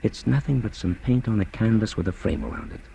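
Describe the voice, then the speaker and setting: An actor playing a firm, paternal doctor with an admonishing, measured manner in 1940s radio drama style. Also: male voice, on the low side, animated, creaky, dark tone, a little hiss or hum. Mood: urgent, firm. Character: firm, paternal doctor treating a fearful patient.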